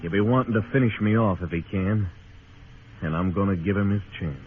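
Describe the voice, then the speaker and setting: A man's voice: lowish voice, fairly quick, natural voice. An American man playing a stern frontier marshal in an old radio western, telling his story in a laconic, hard-boiled way. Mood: calm, firm. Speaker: narrating lead actor. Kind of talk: old-time radio western acting with first-person narration. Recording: tinny old radio recording with music.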